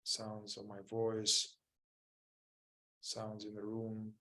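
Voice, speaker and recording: A man leading a guided mindfulness meditation as a teacher, gently and without hurry, leaving long pauses between short phrases. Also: fairly low pitch, completely flat delivery.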